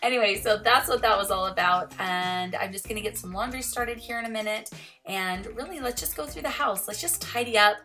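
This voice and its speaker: Chatty woman over soft music. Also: medium pitch, fairly quick. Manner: chatty, relaxed, laughs often. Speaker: vlogger. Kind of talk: casual voice-over chat. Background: soft music underneath.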